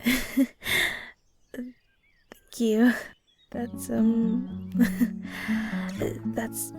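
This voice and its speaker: A woman playing a shy, kind ghost in an intimate audio roleplay, speaking softly and hesitantly with stammers and nervous little laughs. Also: on the high side, flat delivery, very slow, dry recording, in a whisper, exaggerated voice. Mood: shy, flattered. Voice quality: soft, breathy. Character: shy, kind female ghost shade, awkward and caring. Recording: soft guitar music behind. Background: gentle guitar music.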